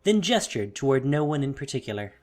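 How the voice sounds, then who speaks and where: A narrator tells a fantasy story in a dramatic, scripted style. The pitch moves widely and expressively, while quiet music plays behind the voice. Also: very animated, brisk. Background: soft music.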